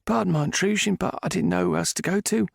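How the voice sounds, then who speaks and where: A man voicing a distraught older maidservant, talking in a high, shaky, hurried voice. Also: gravelly, husky, no echo, studio quality, heavily acted. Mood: frightened. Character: frightened older female lady's maid.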